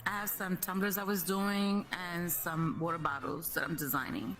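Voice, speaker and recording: Raspy-voiced mother chatting and laughing. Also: fairly low pitch, normal speed, soft background music, low-quality recording. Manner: warm, laughs often, short replies. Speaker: guest, host's mother. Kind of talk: casual chat.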